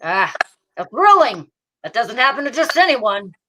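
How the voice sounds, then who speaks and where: A sardonic homicide cop acted out by a woman, pitched fairly high. He trades hard-boiled patter in 1940s radio detective style, world-weary and quick with a wisecrack. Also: sing-song, background noise.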